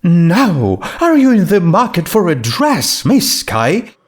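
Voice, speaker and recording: Male reader as refined female pony fashionista: high, swooping, gushing, theatrical and very sure of herself. Storybook reading. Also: huge pitch swings, slow pace, heavily acted. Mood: gracious, preening. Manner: posh, dramatic, calls people darling. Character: elegant, theatrical young female pony dressmaker, gushing and self-assured.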